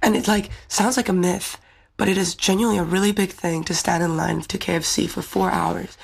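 Young woman with gravelly, husky voice. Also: lowish voice, steady tone, a little fast, rough recording. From Czech Republic. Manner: thoughtful, earnest, fluent English speaker. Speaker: beauty pageant winner. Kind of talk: interview answers.

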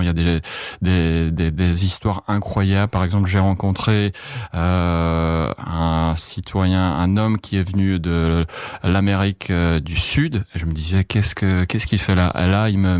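Low-voiced Czech man, halting and searching. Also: a little mellow, clean tone, no echo, studio quality. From Czech Republic, Prague. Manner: halting, searching for words, repeats himself. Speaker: journalist, foreign correspondent being interviewed. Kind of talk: casual interview answers, recounting memories.

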